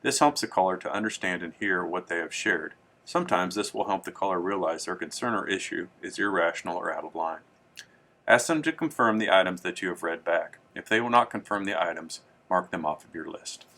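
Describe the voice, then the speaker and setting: A man with a low, clear-edged bright voice presents a training tutorial. He reads from a script in a formal, measured and instructional way, with a forward, crisp tone. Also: animated.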